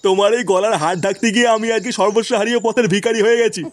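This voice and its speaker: A man's voice: sits high, fairly even intonation, very fast, noisy background, lightly acted. A man voicing a cranky, foul-mouthed grandfather who scolds and grumbles in an amateur comedy cartoon. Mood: sarcastic.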